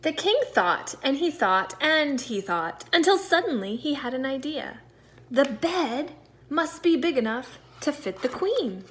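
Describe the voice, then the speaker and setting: Higher-voiced woman reading a storybook aloud. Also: sing-song, a little hiss or hum. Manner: deliberate storyteller, stressing words, dropping to quiet asides. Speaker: second-grade teacher. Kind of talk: expressive storybook read-aloud for young children.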